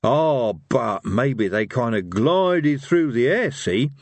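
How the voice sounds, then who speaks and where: A man voicing a touchy, blustering villain, a colloquial, indignant dark lord in a storybook. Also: mid-range voice, lively intonation, slow, no echo, pitched above the performer's own voice. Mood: stubbornly hopeful.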